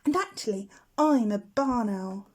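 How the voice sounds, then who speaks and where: Lady doing a little baby owl boy for a storybook, plaintive n eager, pitched up, breathy. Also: lively intonation, unhurried. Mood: indignant. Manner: plaintive, earnest, childlike questioning. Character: eager, timid little boy barn owl, eight weeks old.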